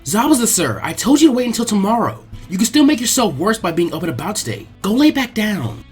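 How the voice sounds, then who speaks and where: A man's voice: high-pitched, very animated, fast, soft background music, voice pushed up. A man voicing an earnest young female ninja in a story reading, speaking politely and deferentially. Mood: gently scolding.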